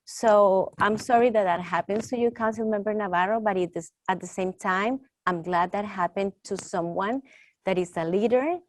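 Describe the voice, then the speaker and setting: A woman talk show host with a strong Latin American Spanish accent, speaking deliberately and with emphasis. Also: medium pitch, slight background noise.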